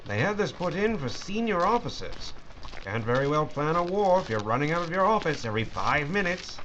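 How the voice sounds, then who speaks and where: An actor playing a brisk upper-class wartime British officer in an audio drama, with a British accent and a clipped, gruff manner. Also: male voice, fairly high pitch, big pitch swings, a bit bright, dull audio, slight background noise. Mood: brisk, reassuring.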